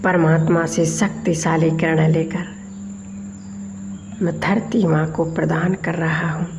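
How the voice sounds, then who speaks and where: A woman guides a meditation very slowly, in a lowish voice with a noticeable creak. She delivers short, deliberate phrases and leaves long pauses. Faint noise and insect-like chirping sit behind her. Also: flat delivery. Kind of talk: slow guided meditation commentary.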